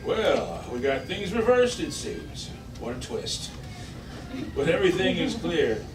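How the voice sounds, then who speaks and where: An upbeat space officer and serial announcer , played by a man. His higher-than-usual voice swings widely in pitch, caught in an echoey, noisy restaurant. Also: sing-song, measured pace, recorded from a distance, music in the background. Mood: businesslike. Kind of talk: amateur table read of a retro space serial. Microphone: far camera across the table.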